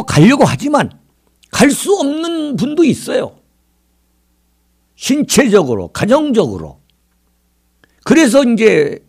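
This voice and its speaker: A man in his sixties from South Korea delivering political commentary in an oratorical way, with dramatic emphasis and rhetorical questions. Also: high voice. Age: 60s. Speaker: political commentary show host.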